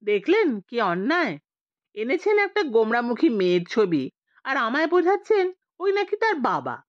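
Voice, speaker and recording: A woman's voice: fairly high pitch, wildly swooping pitch, normal speed, no room sound, highs cut off, pitched above the performer's own voice. A woman voicing a jealous, sulky young wife in an audio story, scolding with sarcasm and indignation. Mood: indignant.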